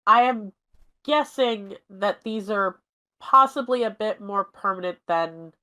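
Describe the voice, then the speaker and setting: A man's voice: high-pitched, unhurried, pushed and bright. A man with a stuffed-up nose, chatting casually as a player in a tabletop game.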